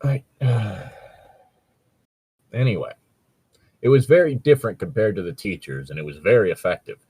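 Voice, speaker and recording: A man's voice: deep voice, darkish tone, husky. A man casually reading and critiquing a story as a podcast host, rambling, sweary and critical. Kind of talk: casual commentary with read-aloud passages.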